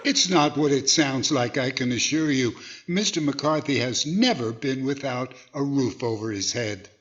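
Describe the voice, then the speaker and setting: A man's voice: mid-range voice, unhurried, a bit bright, muffled recording, natural voice. A man playing an earnest, hesitant ventriloquist boss, the flustered straight man of a 1940s radio comedy. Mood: earnest protest. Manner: hesitant, earnestly self-defending.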